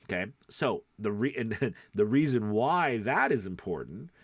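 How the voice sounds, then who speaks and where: A man's voice: lowish voice, very animated, measured pace, husky, no echo. A man giving an informal tutorial as a voice-over coach, talking in a rambling, conversational way and often checking in with the listener. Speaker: voice-over coach and podcast host.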